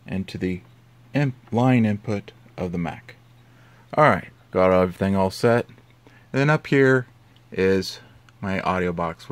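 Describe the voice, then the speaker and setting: A man with a low-pitched voice hosts a how-to tutorial. He explains in an informal, conversational way, as if talking a friend through a setup. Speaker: host of an audio tutorial.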